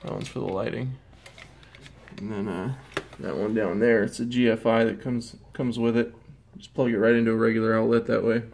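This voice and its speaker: A man casually showing off his hot tub in a garage, talking in a relaxed, rambling way with plenty of fillers, recorded on a handheld phone. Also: fairly low pitch, fairly quick, gravelly, a little hiss or hum. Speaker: product reviewer. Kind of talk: casual product walkthrough.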